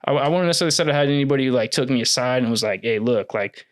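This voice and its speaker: A young man in his twenties talks through his soccer career in a loose, easygoing way. His voice is smooth and clear, at a middle pitch. He fills his sentences with "like" and restarts them often. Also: very fast. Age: 20s. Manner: relaxed, heavy use of "like", restarts. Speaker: pro soccer player guest. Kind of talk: casual interview chat.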